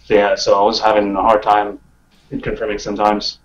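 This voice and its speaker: A man's voice: fairly low pitch, completely flat delivery, brisk, lo-fi sound. A man chatting casually as a gaming podcast guest, putting his thoughts out hesitantly in short pieces. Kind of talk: casual chat.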